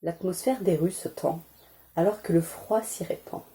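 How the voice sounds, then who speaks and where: A woman softly reading poetry aloud in a calm, deliberate ASMR style, spoken rather than whispered. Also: on the low side. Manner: measured, deliberate, soothing delivery. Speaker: ASMR creator reading poems.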